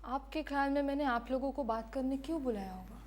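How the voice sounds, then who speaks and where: A woman playing a defiant, bitter condemned prisoner giving her last testimony in a deliberate, impassioned dramatic monologue. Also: high voice, darkish tone, a little hiss or hum, lightly acted. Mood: composed, grave. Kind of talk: dramatic film-style monologue.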